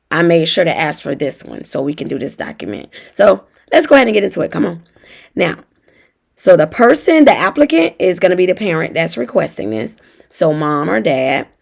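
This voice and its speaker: Raspy, hoarse woman. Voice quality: raspy, deeper than usual. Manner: chatty, talks straight to viewers. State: hoarse from feeling unwell. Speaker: notary tutorial host. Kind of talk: casual tutorial walkthrough.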